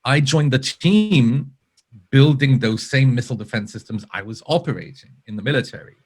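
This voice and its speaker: A man's voice: medium pitch, animated, moderate pace, breathy edge. A man from Israel with a British-sounding accent, a guest in a remote interview, speaking earnestly and building his points in careful lists. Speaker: podcast guest, user researcher. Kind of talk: casual interview talk.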